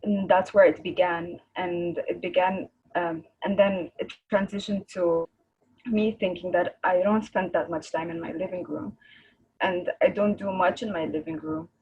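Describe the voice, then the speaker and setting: A woman's voice: lowish voice, fairly even intonation, unhurried, slightly rough, dull audio. A woman, an Arabic speaker, chatting casually as a guest artist on a video call. She rambles and searches for words, laughing easily. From Egypt.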